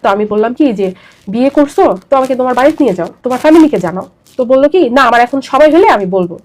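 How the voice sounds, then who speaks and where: Young bangladeshi woman telling her life story in an interview, kinda high voice, talks quick, repeats stuff for emphasis. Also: animated, darkish tone. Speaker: interview guest. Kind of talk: personal confessional storytelling.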